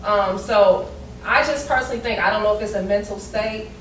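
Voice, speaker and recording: A quiet, soft-spoken woman speaking calmly in a casual group discussion. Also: medium pitch, a little fast. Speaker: panelist in a women's discussion circle. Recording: echoey room picked up from a distance, faint background hum.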